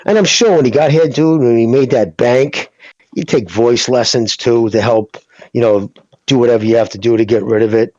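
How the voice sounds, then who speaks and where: A man trading loose, profane banter on a podcast. His voice sits in a middle pitch. The recording is dry and a little muffled. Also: moderate pace, a little mellow, no echo. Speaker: podcast co-host. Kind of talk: casual chat.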